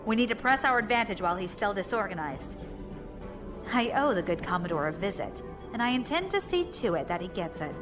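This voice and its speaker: A woman's voice: medium pitch, very animated, a little fast, music in the background, lightly acted, rough recording. A woman playing a shrewd, determined rebel fleet leader with a wry, self-assured manner in a space opera audio drama.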